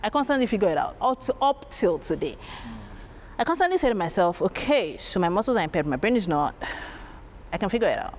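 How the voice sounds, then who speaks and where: Lively woman telling family stories. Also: mid-range voice, fast, a little hiss or hum. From Nigeria. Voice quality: strong, loud voice. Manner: animated, laughing, acts out family voices. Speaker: interview guest. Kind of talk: candid storytelling.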